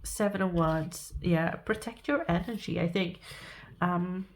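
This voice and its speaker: A woman with a Norwegian accent giving a pick-a-card tarot reading, sniffly and halting, restarting her thoughts as she speaks. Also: fairly low pitch. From Norway. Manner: halting, thinking aloud with restarts and soft laughs. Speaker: tarot reader.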